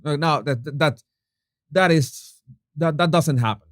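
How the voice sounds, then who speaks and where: A man's voice: fairly high pitch, unhurried, no room sound, clean studio sound. A Venezuelan man who speaks English with a Spanish accent, chatting casually with his viewers and restarting his sentences often. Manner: chatty, many restarts and fillers, laughs at own jokes. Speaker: YouTube host. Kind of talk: casual chat reading viewer comments.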